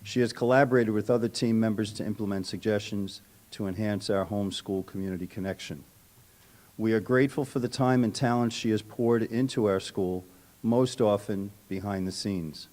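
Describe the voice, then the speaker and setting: A man with a fairly low voice speaks as a school administrator. He delivers a prepared tribute at a public meeting, slipping in informal asides. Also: moderate pace, a little mellow.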